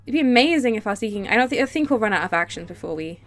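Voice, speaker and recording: High-voiced stuffy woman streamer. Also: rapid-fire, faint music behind. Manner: excitable, laughs easily, bursts into high delight. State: stuffy nose and sore throat from a cold. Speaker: game streamer. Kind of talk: casual live-stream chat, reading game text aloud.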